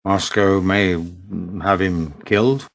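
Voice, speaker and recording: A man playing a reserved, questioning British spy in a radio play, measured, polite and probing. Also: low voice. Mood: questioning, disapproving.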